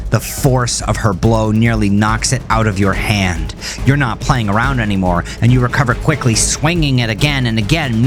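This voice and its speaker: A man reading his own horror story as a dramatic, intense scripted narration. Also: lowish voice. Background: music under the voice.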